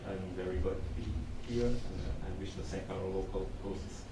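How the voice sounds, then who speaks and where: A man's voice: low voice, fairly even intonation, normal speed, background noise. A man with a Portuguese accent, a commission member, introducing himself fluently and earnestly at a workshop. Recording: echoey conference hall, distant mic.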